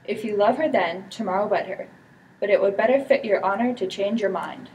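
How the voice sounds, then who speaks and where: Slanderous Shakespearean villain, played by a student girl, mid-pitched, amateur stage dialogue, distant mic. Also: a little fast, a little mellow, lightly acted. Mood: coldly accusing. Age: teenager. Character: scheming, slanderous villain, the prince's brother. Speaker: student performer. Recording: distant mic with background noise.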